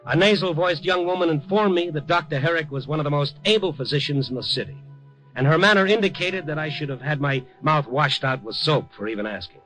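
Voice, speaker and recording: An actor playing a tough, wry, incredulous newspaper reporter in a 1950s radio drama. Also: male voice, fairly high pitch, fairly quick, a bit bright, soft background music, close to an everyday voice, rough recording. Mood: wry, narrating. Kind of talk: 1950s radio drama, first-person narration and dialogue.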